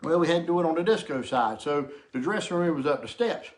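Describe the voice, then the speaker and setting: A man's voice: a little high, animated, quick pace. A man telling a casual comic anecdote to camera in a rambling, folksy way, often repeating himself. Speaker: storyteller.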